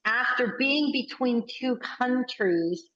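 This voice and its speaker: A woman, chatting on a video call as a workshop participant and telling stories with quick, animated bursts. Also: on the high side. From United States. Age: 60s. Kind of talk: casual video call chat. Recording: roomy, slightly muffled call audio.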